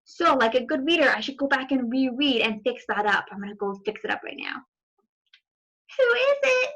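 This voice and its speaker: A woman's voice: a little high, fast talker, fairly bright tone, highs cut off. A woman reading a children's story aloud expressively, with asides to young listeners. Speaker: storybook reader.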